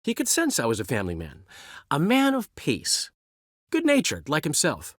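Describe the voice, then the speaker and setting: A man's voice: a little high, huge pitch swings, moderate pace, no room sound, clean studio sound. An actor voicing a vain, witty male bard in a video game, speaking in flamboyant, florid phrases. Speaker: game character. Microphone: dry studio recording.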